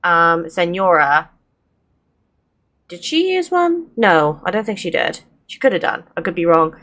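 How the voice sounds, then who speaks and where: A woman's voice: fairly low pitch, big pitch swings, a little slow, fairly bright tone. A woman hosting a gameplay video, giving chatty, informal commentary in a casual style. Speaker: gaming channel host.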